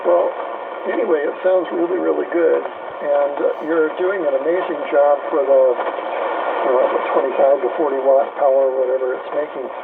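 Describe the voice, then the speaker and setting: A man's voice: on the high side, steady tone, normal speed, gravelly. An American man chatting casually as a ham radio operator, rambling and repeating phrases, heard through an AM radio transmission. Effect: AM shortwave radio transmission. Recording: narrow-band AM radio, loud static noise. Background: loud background radio noise.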